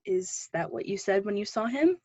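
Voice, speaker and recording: A woman's voice: mid-range voice, very animated, normal speed, dark tone, no echo, lo-fi sound. A woman acting an earnest, pushy drama teacher with faded dreams, encouraging and wistful in a play readthrough. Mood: concerned.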